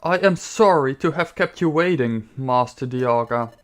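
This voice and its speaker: A man's voice: mid-range voice, a little slow, lightly acted. A man voicing a cold, formal male shadow creature who serves a master, reading game dialogue in a stiff, solemn way. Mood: grave.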